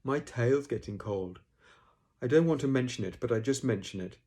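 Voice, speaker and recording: A man's voice: medium pitch, mellow, breathy edge, voice pushed up, natural voice. A man voicing a gloomy, long-suffering old donkey who grumbles and complains, in a children's storybook reading. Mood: plaintive. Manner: grumbling, deliberate, resigned.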